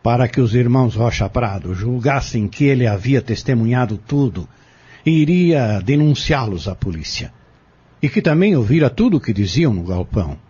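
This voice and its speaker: A man playing a cold, vengeful schemer who confesses his crimes in an audio drama, with a husky voice. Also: mid-range voice, animated, normal speed, dark tone, dull audio. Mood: calculating.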